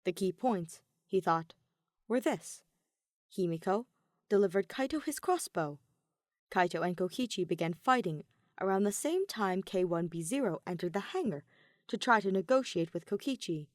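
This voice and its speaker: A woman's voice: medium pitch, expressive, moderate pace, dry recording, studio quality. A woman reading scripted fiction as an audiobook narrator, in a dramatic storytelling style.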